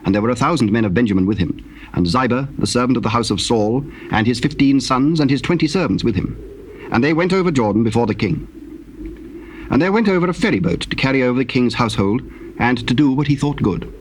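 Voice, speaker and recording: A man narrating dramatized scripture in a solemn, measured and formal way. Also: mid-range voice, animated. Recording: noisy old cassette tape.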